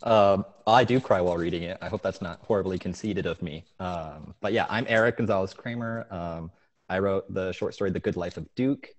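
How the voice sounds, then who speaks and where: Young adult man, low voice, author at an online reading, earphone mic on a video call. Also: brisk. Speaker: author reading from his short story. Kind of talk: informal talk and story reading at an online event. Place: living room at home, video call. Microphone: wired earphone mic on a video call.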